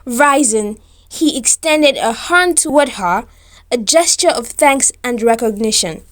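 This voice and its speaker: A woman's voice: a little high, lively intonation, a little slow. A woman storyteller reading a scripted romantic tale in a formal, descriptive, careful manner.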